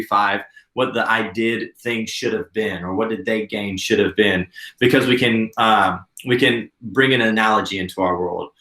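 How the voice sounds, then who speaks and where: A man's voice: fairly low pitch, a bit bright. A man in his thirties, a business coach, leading a workshop in an earnest, encouraging, conversational way. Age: 30s. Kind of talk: instructional workshop talk. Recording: roomy echo.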